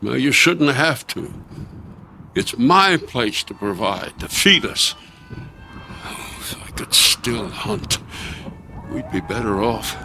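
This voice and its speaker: A proud provider going blind, in a radio drama. His grumbling delivery is slow and swings widely in pitch, with a bright, forceful edge. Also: big pitch swings, gravelly, slight background noise, exaggerated voice. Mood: frustrated. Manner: grumbling, emphatic. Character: frustrated man losing his sight, proud provider.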